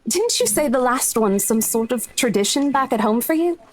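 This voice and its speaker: A woman's voice: fairly high pitch, lively intonation, moderate pace, poor audio. A woman voicing a brash, teasing, worldly office mentor in a visual novel game. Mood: breezy, pushy. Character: brash, worldly female real-estate mentor, teasing and pushy.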